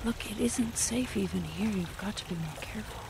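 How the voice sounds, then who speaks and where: An actress playing a cautious, brisk handmaid who is secretly a rebel, in an audio drama. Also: female voice, medium pitch, gravelly, background noise, close to an everyday voice. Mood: stern, urgent.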